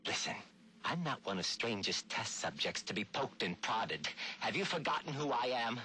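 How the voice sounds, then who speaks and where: An actor playing an uneasy, defensive young crime-family heir in a video game, flustered and indignant. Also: male voice, mid-range voice, very animated, moderate pace, bright, forceful tone, a little hiss or hum. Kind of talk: dramatic video game phone-call acting.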